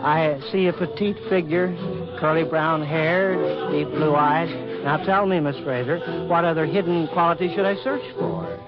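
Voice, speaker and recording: An actor playing an earnest, naive government official with a formal, precise manner in a 1940s radio comedy. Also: male voice, a little high, normal speed, close to an everyday voice. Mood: stiff, earnest. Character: stiff, polite, earnest male government official, naive about romance. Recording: dull vintage radio recording with music behind.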